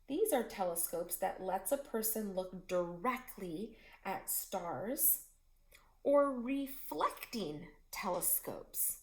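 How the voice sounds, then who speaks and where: Slow, lively woman reading a children's book. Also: mid-range voice, echoey room. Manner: slow, expressive storytelling. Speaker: teacher reading aloud. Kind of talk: children's book read-aloud.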